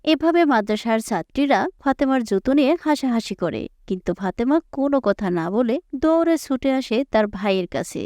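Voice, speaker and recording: Female storyteller, scripted narration, fairly high pitch, dry close recording. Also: animated, brisk, a little mellow, no room sound.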